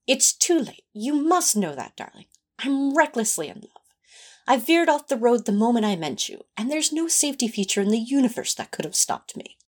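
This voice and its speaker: A woman's voice: a little high, sing-song, normal speed, husky. A woman playing a prim, tender male angel in a fan audio drama, speaking in a fussy, formal and earnest way. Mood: earnest, lovestruck.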